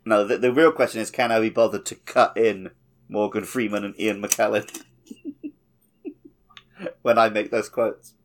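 A man's voice: on the low side, steady tone, unhurried, pauses often. A man hosting a casual gaming podcast, talking in a jokey way. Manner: jokey, drops film quotes. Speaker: podcast host and editor.

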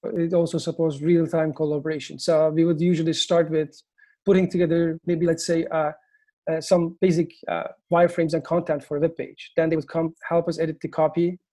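Turkish-accented man, mellow voice. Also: fairly high pitch, little pitch movement. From Turkey. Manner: halting, many fillers and restarts. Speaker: agency founder, guest. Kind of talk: interview answers.

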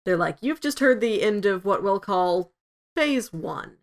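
A woman's voice: medium pitch, lively intonation, unhurried. A woman co-hosting a casual review discussion, often acting out imagined quotes as she explains her thoughts. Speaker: podcast co-host reviewing an audio drama.

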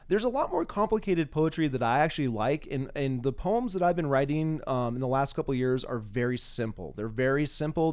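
Man podcast host, conversational and rambling. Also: on the high side. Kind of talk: casual chat and reciting simple rhyming verse.

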